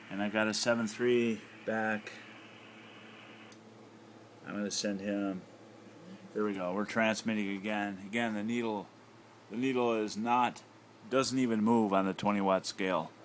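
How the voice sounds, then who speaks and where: American male hobbyist, lowish voice, casual hesitant walk-through, light background noise. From United States. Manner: hesitant, thinking aloud. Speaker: amateur radio hobbyist. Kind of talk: casual off-the-cuff demonstration commentary. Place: indoors at a home radio desk. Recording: noisy handheld home recording.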